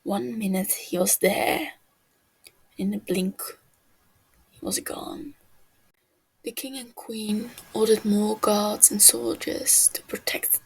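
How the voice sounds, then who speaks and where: A woman's voice: medium pitch, gravelly, breathy edge, low-quality recording. A woman reading a scripted fantasy tale as a podfic narrator.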